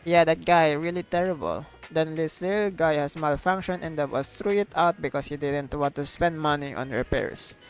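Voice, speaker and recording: A woman's voice: sits low, normal speed, few pauses. A woman livestreaming a game in a casual, slangy way, commenting and reading dialogue aloud with some stumbles. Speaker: streamer. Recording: game music behind the voice.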